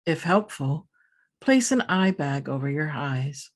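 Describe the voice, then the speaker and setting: A woman meditation teacher leading a scripted guided meditation in a calm, soothing, measured manner with pauses between phrases. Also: low voice.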